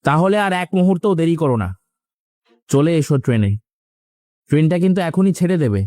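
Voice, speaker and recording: An actor playing an insistent, scheming young lover who presses and urges in a horror cartoon story. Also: male voice, a little high, sing-song, a little fast, dark tone, dry recording, studio quality. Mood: urgent, insistent. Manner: urging, pressing.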